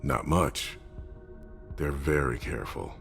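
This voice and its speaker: A cautious, uncompromising vampire strategist, with an extremely deep voice full of creak. He is blunt and matter-of-fact in dramatic game cutscene style, with music underneath. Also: lively intonation, slow, a bit bright, exaggerated voice. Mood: grim.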